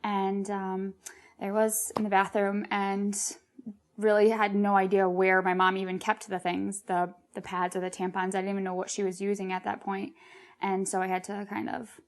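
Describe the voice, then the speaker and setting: A woman's voice: mid-range voice, completely flat delivery, moderate pace. A young woman telling an interviewer about growing up in a matter-of-fact way. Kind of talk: personal interview recollection.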